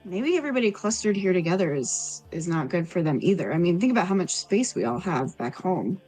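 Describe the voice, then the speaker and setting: A woman's voice: on the low side, sing-song, brisk, darkish tone, faint music behind, low-quality recording. A woman playing a caring, thoughtful village woman in a tabletop fantasy game, speaking hesitantly. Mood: thoughtful, uneasy.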